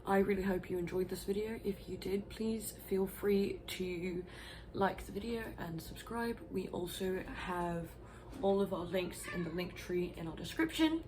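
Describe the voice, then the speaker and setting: A woman explains things to her viewers in a loose, rambling vlog voice, with casual swearing along the way. Her voice sits a little low. A faint hiss runs behind her. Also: measured pace. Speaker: vlogger.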